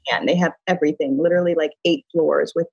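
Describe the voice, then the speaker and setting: A woman's voice: low-pitched, steady tone, quick pace, gravelly, darkish tone, slightly rough, no room sound, dull audio. A middle-aged woman from the US Midwest, chatting casually as an interview guest, telling stories with lots of laughs and fillers. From Chicago, US Midwest. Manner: chatty storyteller, laughing often, filler-heavy. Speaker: beauty brand founder, guest.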